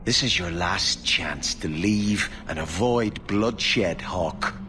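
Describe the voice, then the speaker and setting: Game role: defiant elf man, lowish voice, solemn, noisy capture. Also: slow pace. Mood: stern warning. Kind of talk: video game voice acting.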